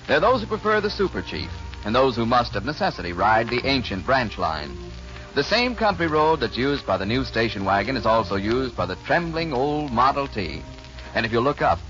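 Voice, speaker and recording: Animated male vintage radio announcer. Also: mid-range voice. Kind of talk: 1940s radio scripted narration. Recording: dull 1940s radio recording, faint music.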